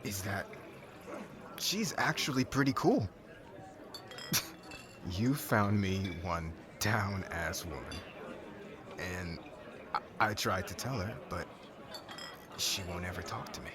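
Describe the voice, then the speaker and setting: A man playing a brash, lovelorn young warrior groom in an ASMR audio drama, halting and informal, sounding exasperated. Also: deep voice, expressive, a crowd in the background. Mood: tipsy, bitter.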